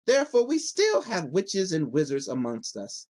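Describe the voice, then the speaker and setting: A kindly little old witch played by a man reading aloud from a children's storybook. She explains things with calm assurance, her pitch rising and dipping in lively curves. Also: normal speed. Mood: explaining.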